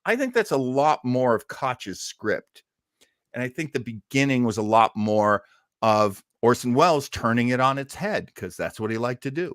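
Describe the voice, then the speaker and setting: A man with a mid-pitched voice hosts a casual online discussion. He explains and comments conversationally, working out his thoughts aloud. The sound is close and dry, with very little room in it. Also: animated, normal speed, fairly bright tone, no echo. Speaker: host of an online radio-history show.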